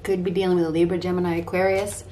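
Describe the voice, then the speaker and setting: Low-voiced middle-aged woman reading tarot. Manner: halting, repeats phrases as she thinks aloud. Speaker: tarot card reader. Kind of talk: improvised tarot reading to camera. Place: small home room, indoors. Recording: clear steady background room noise.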